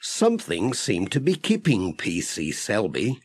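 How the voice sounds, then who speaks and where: A man narrating a children's audiobook in a gentle, clearly phrased storytelling manner. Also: lowish voice, huge pitch swings, unhurried.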